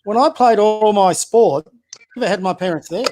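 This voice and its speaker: A man with a high-pitched voice, a community basketball coach giving blunt, opinionated views as a podcast guest. He fires off rhetorical questions and often stumbles and restarts. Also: normal speed, a little mellow, slight background noise, poor audio. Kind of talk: casual political chat.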